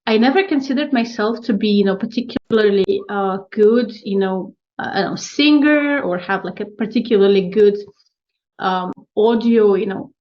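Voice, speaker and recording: A woman with a Ukrainian accent talks through her story as a podcast guest, pausing and restarting often, with light laughter. Her pitch sits mid-range, and the audio is somewhat dull and lo-fi. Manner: thoughtful, many fillers and restarts, laughs lightly. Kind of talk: casual interview talk.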